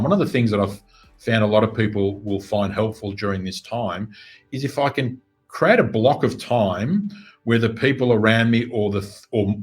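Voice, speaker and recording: Middle-aged man, lowish voice, earnest business coach, faint music underneath. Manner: steady, earnest, reflective. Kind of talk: interview answers.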